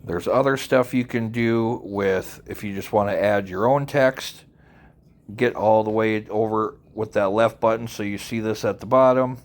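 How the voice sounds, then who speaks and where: A man narrating an unscripted software tutorial, informally walking the listener through it step by step. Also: on the low side, unhurried. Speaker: tutorial presenter.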